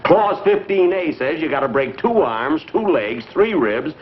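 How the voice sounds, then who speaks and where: Put-upon earnest fiancé, lively pitch. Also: male voice, unhurried. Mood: dry, exasperated. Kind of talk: 1950s broadcast comedy acting. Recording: hissy, muffled 1950s broadcast recording.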